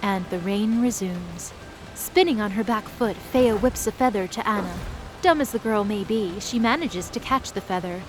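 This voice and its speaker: A woman's voice: medium pitch, normal speed, rough recording. A woman narrating an audio drama in a scripted style with wry, casual asides. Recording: music underneath.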